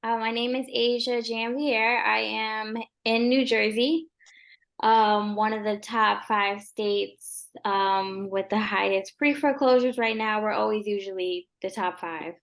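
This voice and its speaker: A woman real estate agent speaking as a guest in a casual interview, with a soft voice and a relaxed, rambling way of talking full of ums and likes. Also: mid-range voice, unhurried, creaky.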